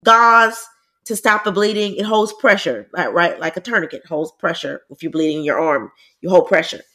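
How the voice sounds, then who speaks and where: A woman with a blocked, congested nose chats as a podcast co-host. Her pitch rises and falls in a lively, animated way. She explains things plainly and at length. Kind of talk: casual chat.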